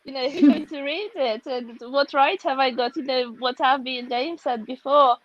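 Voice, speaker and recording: A woman's voice: high voice, normal speed, no echo, lo-fi sound. A woman from Russia with a Russian accent, a guest author speaking candidly and reflectively, often laughing, over a video call.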